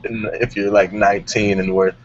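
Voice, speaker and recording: A man's voice: on the low side, flat delivery, moderate pace. A man hosting a casual panel discussion about music. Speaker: host of a music talk show. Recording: dull audio with background noise.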